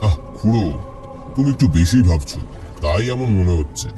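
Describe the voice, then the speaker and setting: A man's voice: sits low, expressive, moderate pace, background noise. A man playing a young village fisherman in colloquial, coaxing cartoon horror dialogue. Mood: dismissive. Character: young village fisherman, eager gossip, dismissive of worry. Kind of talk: animated horror story dialogue.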